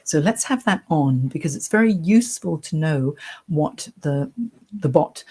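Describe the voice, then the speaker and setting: A woman career coach with a soft voice, chatting her way through a screen-share tutorial on a computer mic. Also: deep voice, expressive, measured pace, husky. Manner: chatty, reassuring, talks through clicks.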